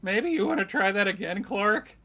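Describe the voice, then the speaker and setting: A man's voice: sits high, lively intonation, moderate pace, fairly bright tone. A man playing a jittery, exasperated TV news producer, nagging and sarcastic, in a comic book table read.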